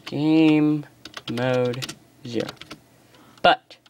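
Casual game commentary, chatty, excitable, middle pitch, phone recording, keyboard typing. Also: extremely slow, slight background noise. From United States. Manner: chatty, excitable, self-deprecating. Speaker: gaming channel host. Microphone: phone filming a screen.

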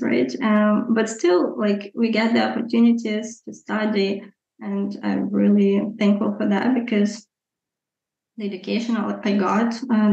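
A woman in her thirties from eastern Ukraine with a Ukrainian accent, answering interview questions hesitantly with many pauses and ums. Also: mid-range voice, vocal fry, a little mellow, echoey room, muffled recording. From Luhansk, eastern Ukraine. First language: Russian. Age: 30s. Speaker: guest.

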